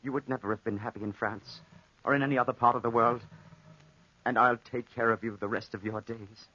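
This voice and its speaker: A man's voice: medium pitch, fairly even intonation, brisk, pushed and bright, lightly acted. A man acting an impassioned, lovesick soldier losing his mind in the desert, in a melodramatic 1940s radio drama style. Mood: tender, wistful. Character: lovesick, unhinged soldier stranded in the desert with a panther. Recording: muffled, noisy 1940s radio recording.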